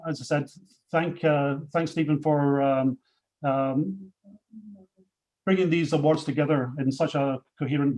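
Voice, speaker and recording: A Scottish man speaking on a video call, his voice set a little high for a man. He presents awards in a measured, halting way, padding his sentences with ums and uhs and often restarting them. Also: a little mellow, rough recording. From Scotland. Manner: hesitant, many fillers and restarts. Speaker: CEO presenting industry awards. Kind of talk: webinar remarks, unscripted.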